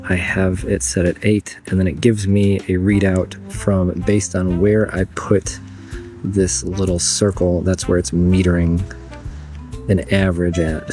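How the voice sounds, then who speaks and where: A man's voice: deep voice, warm tone. A man with a cold, filmed on a phone outdoors at dusk, muttering as he explains his camera settings. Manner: muttering, thinking aloud. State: congested from a cold. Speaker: photography vlogger.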